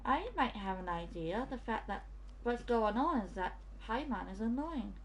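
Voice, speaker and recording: A woman's voice: on the high side, expressive, moderate pace, a little hiss or hum. A woman giving casual commentary as she streams a video game. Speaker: gaming streamer.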